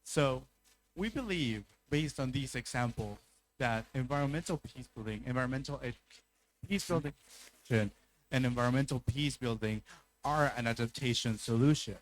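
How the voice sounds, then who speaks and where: A young man from Chile speaks at a conference. His voice sits at a middle pitch, and he speaks haltingly, stopping to restart his sentences as he goes. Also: low-quality recording. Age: young adult. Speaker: youth climate speaker. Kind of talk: conference talk.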